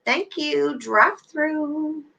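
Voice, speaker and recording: A woman's voice: high voice, very slow, creaky, sharp tone, dull audio. A middle-aged woman hosting a casual livestream, chatting to viewers by name with endearments. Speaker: YouTube livestream host.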